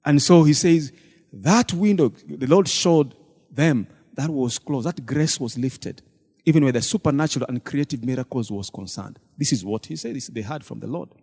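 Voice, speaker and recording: A man's voice: medium pitch, moderate pace, darkish tone, breathy edge. A man, a deacon telling the congregation a story about a church meeting and acting out the people he quotes. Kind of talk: church testimony retelling a meeting. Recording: long hall reverb.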